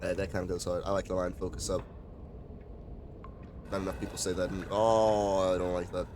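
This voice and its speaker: A man's voice: low-pitched, level tone, a little fast, background noise. A man streaming a game, reacting in a profane, joking way in casual commentary. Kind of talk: casual live gameplay commentary. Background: game music.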